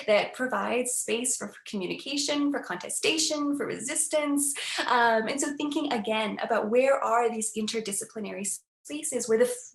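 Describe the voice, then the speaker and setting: An earnest woman in her thirties, raised in the United States, gives a webinar lecture as an academic. Her voice sits a little high, and she often checks in with "right?". The sound carries a roomy echo. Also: creaky. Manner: earnest, tags points with "right?". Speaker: academic speaker.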